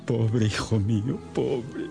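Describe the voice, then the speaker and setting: An actor playing an anguished, remorseful gentleman father in a melodramatic, exclaiming radio drama style. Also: medium pitch, fairly even intonation, slow, creaky, over music. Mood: anguished disbelief.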